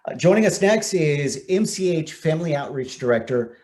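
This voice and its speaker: A man's voice: a little high, animated, normal speed, darkish tone. An American man hosting a community event over a video call, speaking as an announcer and presenter. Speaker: event host, news anchor.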